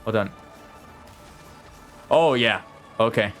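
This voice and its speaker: A man giving casual live commentary as a game streamer, reacting out loud and swearing freely. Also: fairly low pitch, slow pace. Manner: profane, reactive, talks to game and viewers. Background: game music.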